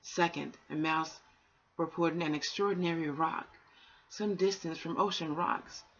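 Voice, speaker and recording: Woman as a solemn, creaky wild boar. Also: sits low, steady tone. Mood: solemn, official. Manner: solemn, deliberate, official. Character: solemn wild boar reporting signs of invasion. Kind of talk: bedtime storybook character voice. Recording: dull, lo-fi home recording.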